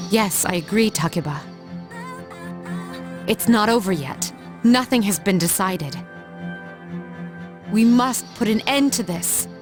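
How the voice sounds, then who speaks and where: A woman voicing a proud, reserved young noblewoman in an anime game, speaking formally and with measure. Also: mid-range voice. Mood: resolute. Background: music.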